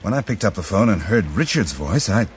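An actor playing an anxious, ailing father in a radio drama, speaking in a halting, worried way. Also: lowish voice, very animated, a little hiss or hum. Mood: anxious, troubled. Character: anxious, ailing father of a runaway grown son.

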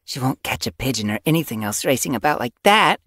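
Casting: level-headed, sharp-tongued young she-cat, voiced by a narrator, lifted pitch, dry and scolding, animal audiobook. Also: very animated, fast talker, no echo. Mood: wry, muttering.

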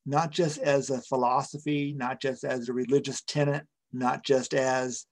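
A man in his sixties preaching a reflective sermon as a pastor, speaking deliberately in short phrases with pauses, into a computer microphone in a small room. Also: medium pitch, level tone. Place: small room at home, indoors. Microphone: computer webcam or video call microphone.

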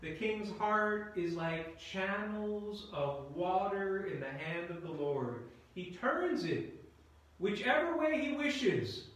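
Slow, high-pitched male preacher in echoey room. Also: animated, fairly bright tone. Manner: halting, deliberate delivery with repeated words and pauses. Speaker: pastor. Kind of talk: sermon. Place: indoors in a church at the front by a screen.

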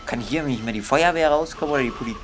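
A man's voice: medium pitch, fast talker, over music. A young man giving casual gaming commentary in a grumbling, comically exasperated manner. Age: young adult. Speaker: let's player.

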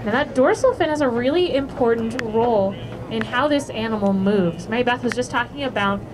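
A woman's voice: on the high side, fairly quick. A woman narrating a whale watching tour, chatty and playful, asking the passengers questions. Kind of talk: guided tour commentary over a PA. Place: outdoors on a moving boat at sea. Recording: loud boat engine and wind noise.

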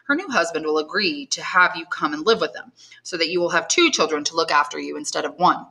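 A woman reading aloud and voicing a middle-aged man, a measured and calculating magistrate addressing his father. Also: low-pitched, creaky, bright, forceful tone, full character voice, low-quality recording. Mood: cool.

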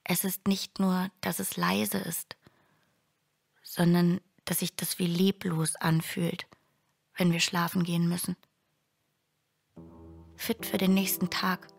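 An actress delivering a bleak, weary, subdued, partly whispered monologue in a radio play. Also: female voice, fairly low pitch, vocal fry.

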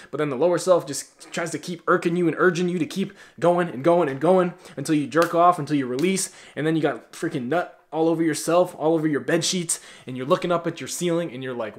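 A man in his twenties giving blunt, profane self-improvement advice straight to camera in a small bedroom, often swinging from loud to quiet. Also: a little high. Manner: blunt, profane, animated with big swings in loudness. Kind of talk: direct-to-camera advice vlog.

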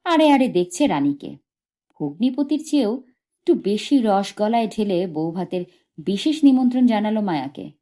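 A woman's voice: mid-range voice, big pitch swings, moderate pace, mellow. A woman narrating a short story as an audiobook reader, expressively and from a script.

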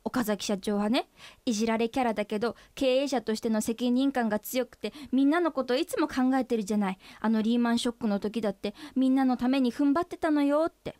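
A woman's voice: fairly high pitch, fast, few pauses, darkish tone, dry recording, natural voice. An actress playing an earnest, forthright female office employee pressing her boss in a comic radio drama. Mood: earnest, embarrassed.

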